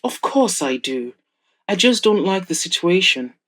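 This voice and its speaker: A woman's voice: lowish voice, sing-song, measured pace, fairly bright tone, heavily acted. A woman voicing a wary, jealous boyfriend in an audio drama, speaking earnestly. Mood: wary, hurt. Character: Paul, wary, jealous boyfriend, adult man. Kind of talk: audio drama character dialogue.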